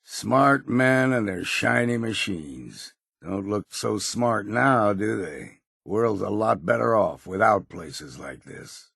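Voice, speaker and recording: An actor playing a gruff old wilderness hunter in a video game, with a husky, gravelly voice and a folksy, plainspoken manner. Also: male voice, fairly low pitch, animated, fairly bright tone, dry recording, clean studio sound, full character voice. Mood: scornful. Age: older. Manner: folksy, plainspoken, crusty, drawn-out phrasing. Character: gruff old male wilderness hunter and hard-drinking companion. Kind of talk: video game character dialogue.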